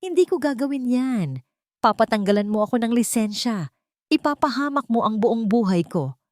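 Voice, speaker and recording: A woman's voice: a little high, animated, normal speed, darkish tone, no room sound, pitched above the performer's own voice, lightly acted. A cornered male doctor in a romantic audio drama, acted out by a woman narrator. He is bitter and frightened, with a strained, emphatic delivery. The sound is dry and close. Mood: indignant.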